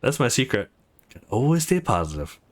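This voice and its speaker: A man's voice: mid-range voice, sing-song, fairly quick, close to an everyday voice. A man voicing an upbeat, friendly young protagonist in a visual novel, playful and coaxing. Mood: cheerful.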